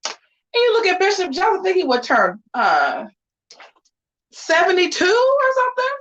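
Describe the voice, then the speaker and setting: A woman's voice: very high voice, huge pitch swings, normal speed, fairly bright tone, highs cut off. Sassy, chatty woman in her forties. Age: 40s. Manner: chatty, sassy, slangy asides. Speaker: vlogger. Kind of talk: casual get-ready-with-me chat. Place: at home getting ready.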